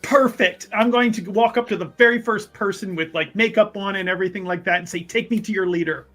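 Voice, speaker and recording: Bright, high-voiced male tabletop player. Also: steady tone, fairly quick, faint music behind. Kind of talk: casual roleplay table talk.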